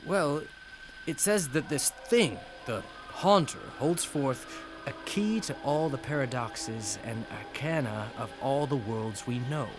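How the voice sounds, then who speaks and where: A man's voice: mid-range voice, slow, music in the background, natural voice, rough recording. An actor in a radio drama playing a chatty, eager scholarly man with a hesitant, fussy way of talking. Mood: intrigued, uneasy.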